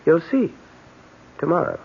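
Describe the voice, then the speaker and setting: An actor playing a doting but dismissive husband with a suave, assured manner in an old-time radio drama. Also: male voice, fairly high pitch, huge pitch swings, a little slow, vocal fry, slightly rough, exaggerated voice. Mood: amorous. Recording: muffled old radio recording, some noise.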